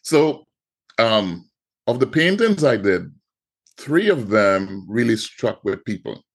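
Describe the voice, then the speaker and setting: A man's voice: fairly low pitch, big pitch swings, takes it slowly, slightly rough. A middle-aged man with a Trinidadian accent, telling his story as a podcast guest, often repeating words as he goes. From Trinidad and Tobago. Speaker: guest artist. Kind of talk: podcast interview.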